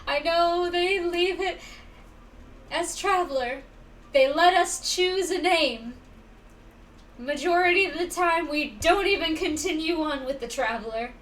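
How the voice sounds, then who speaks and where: High-voiced, slow-talking woman streamer. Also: distant mic, slight background noise, poor audio. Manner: animated interjections, talking back to the game. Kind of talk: casual live game reaction.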